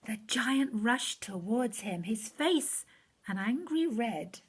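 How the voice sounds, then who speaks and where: A woman reading a rhyming children's story aloud, expressively and rhythmically, with phrase endings falling soft. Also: fairly high pitch, expressive, takes it slowly, breathy edge. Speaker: story reader.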